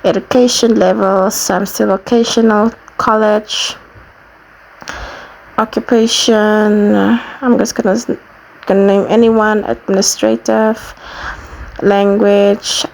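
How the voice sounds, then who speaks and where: Namibian woman with a creaky voice. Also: mid-range voice, a little mellow, low-quality recording. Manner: plain-spoken, explanatory, step by step. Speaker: YouTuber giving a how-to tutorial. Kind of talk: informal screen walkthrough, casual instruction.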